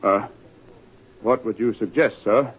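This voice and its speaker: An actor playing a stuffy, evasive military colonel with a conciliatory manner in an old-time radio drama. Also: male voice, fairly low pitch, unhurried, husky, phone-quality sound. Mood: placating, uneasy. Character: smooth, evasive, placating male military colonel. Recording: phone-thin old radio recording.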